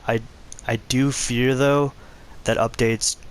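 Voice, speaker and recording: A man with a hoarse, worn-out voice hosting a casual gaming vlog, talking in a laid-back, conversational way. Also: mid-range voice, level tone, slow pace. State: hoarse, losing his voice. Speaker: channel host.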